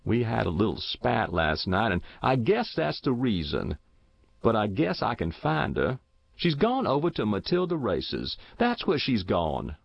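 Man acting frail stubborn old farmer, rural American, insistent, creaky, animated, audiobook character. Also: on the low side, measured pace, no echo, muffled recording, heavily acted. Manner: querulous, insistent, folksy. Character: thin, demented old widowed farmer, eager, stubborn.

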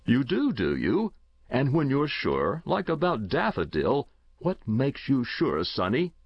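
A wry, indulgent middle-aged uncle, as a man reads him, dry and questioning, with a gravelly voice and a slow, easy pace. The recording sounds muffled and close, with no room echo. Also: mid-range voice, wildly swooping pitch, voice pushed up, exaggerated voice.

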